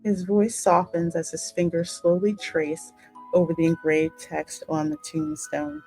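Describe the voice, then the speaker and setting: Female gaming host, lower register, unhurried, expressive story narration, soft music behind. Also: poor audio. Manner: expressive, dramatic read-aloud with casual exclamations. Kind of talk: narrating and reacting to a game story. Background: game music.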